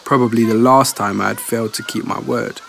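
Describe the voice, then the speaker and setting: A man's voice: fairly low pitch, fairly even intonation, fairly quick, gravelly, a bit bright, slight background noise. A man narrating a scripted first-person horror story. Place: home studio. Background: guitar music.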